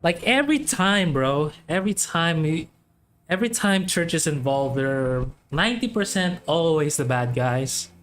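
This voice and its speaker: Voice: medium pitch, animated, measured pace, a bit bright, slight background noise. A game streamer giving casual commentary in short, informal, musing remarks. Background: faint game music.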